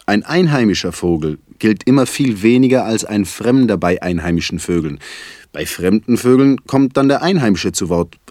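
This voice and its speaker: A man performing a self-ironic, musing poet in a theatrical literary recitation, speaking in a deliberate, wry, articulate way. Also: deep voice, a little mellow, no echo, clean studio sound.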